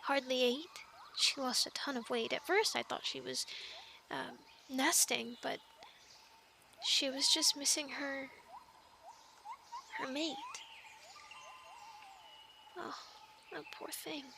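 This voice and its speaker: A woman's voice: high-pitched, slow, vocal fry, long pauses, bright, forceful tone, a little hiss or hum, full character voice. A woman acting a chatty young island castaway who loves animals, in an intimate, rambling ASMR roleplay with hesitant, earnest explanations. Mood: sympathetic.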